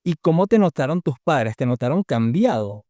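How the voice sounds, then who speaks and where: A young man from Venezuela with a Venezuelan accent, hosting a podcast and asking curious, lively questions. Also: on the high side, moderate pace, dry recording, studio quality. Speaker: podcast host. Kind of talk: casual interview.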